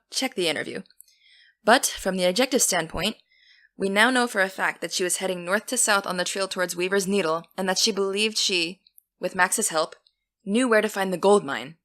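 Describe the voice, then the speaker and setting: An actress playing an earnest teenage girl who hosts a podcast, keeping things steady and businesslike. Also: mid-range voice, lightly acted. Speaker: podcast host. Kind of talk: scripted audio drama.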